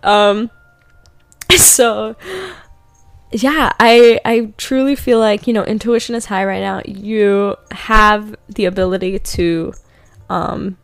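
A young woman hosting a casual spiritual chat, rambling with many fillers and slowing down to read out affirmations. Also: medium pitch, steady tone, clean tone, dry recording. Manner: rambling, filler-heavy, laughs often, slows down for affirmations. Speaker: podcast host doing card readings. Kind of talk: casual spiritual chat with tarot-style readings.

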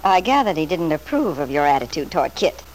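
An actress playing a scheming, flattering woman in an old-time radio soap, solicitous and emphatic with false devotion. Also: deep voice, fairly quick. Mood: curious, probing. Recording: noisy vintage radio drama recording.

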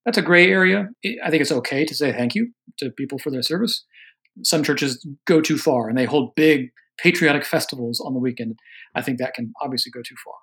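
An American man from Oregon, an army veteran, answering interview questions in a measured, earnest way that carefully qualifies each point. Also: mid-range voice, a bit bright, low-quality recording. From Oregon, USA. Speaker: guest author on religion and politics.

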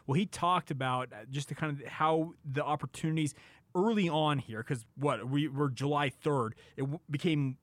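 A man's voice: a little high, normal speed, no room sound. A man hosting a sports talk show in a casual, unscripted style.

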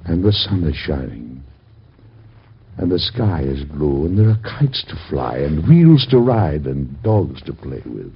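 A husky grieving president father. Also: very deep voice, big pitch swings, lo-fi sound, full character voice. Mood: gentle. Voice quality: husky, gravelly. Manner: solemn, measured, gently reassuring.

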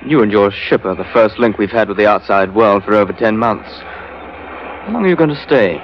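Uneasy, courteous gentleman, lowish voice. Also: expressive, a little fast. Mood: tense, blunt. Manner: hesitant, courteous, formal. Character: restless, uneasy, polite colonial gentleman wanting escape. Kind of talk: 1940s radio drama acting. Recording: dull vintage radio recording with music.

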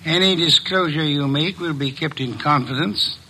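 A middle-aged private investigator from a vintage radio drama, precise and commanding. His voice sits high and bright, with a gravelly, slightly husky edge. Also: moderate pace, exaggerated voice, rough recording. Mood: measured. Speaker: detective. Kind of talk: 1950s radio drama acting.

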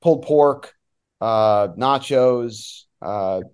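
A man's voice: mid-range voice, very slow, a little mellow, clean tone. A man giving casual, opinionated sports analysis as an interview guest, in an informal conversational way. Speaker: guest, soccer play-by-play announcer.

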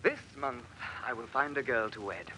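An actor playing a hopeful, stubborn bachelor longing to marry, in a fervent, theatrical old radio period drama with faint noise. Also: male voice, medium pitch, normal speed, pushed and bright, close to an everyday voice, low-quality recording. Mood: dreamy, hopeful. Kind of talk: 1950s radio drama acting.

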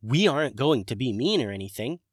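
A man's voice: medium pitch, big pitch swings, a little fast, dry recording, studio quality. A man voicing an anxious, friendly male dragon who stammers when flustered.

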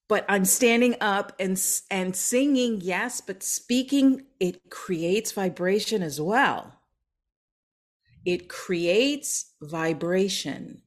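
A woman opera singer and voice coach telling a story in an interview, earnest and emphatic, sometimes dropping to a whisper. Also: medium pitch. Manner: earnest, slow and emphatic, drops to a whisper on key lines.

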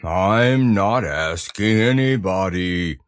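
Deep, plodding, gloomy donkey. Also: male voice, expressive, slow, dry recording, studio quality. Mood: resigned, grumpy. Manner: ponderous, resigned, aggrieved. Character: gloomy, grumbling, slow-spoken old donkey.

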